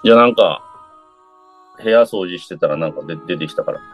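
A man's voice: low voice, fairly quick, soft background music, voice pushed down. A man playing an awkward older brother who runs the family business, improvising hesitantly in a radio drama. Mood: awkward, casual.

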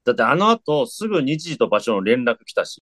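An actor in a radio drama playing a reluctant younger man who stammers and reacts loudly to surprises. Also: mid-range voice, sing-song, fairly quick, dry recording. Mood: excitable. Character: reluctant younger man, excitable, respectful junior.

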